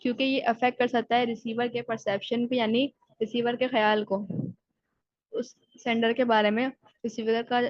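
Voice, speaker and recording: Woman teaching, reads definitions slow then explains, pretty flat voice, kinda muffled lo-fi audio. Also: a little high, no echo. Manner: explains in Urdu-Hindi, slowly reads English definitions aloud, then paraphrases. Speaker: lecturer. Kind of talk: recorded exam-prep lecture. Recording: dull lo-fi sound.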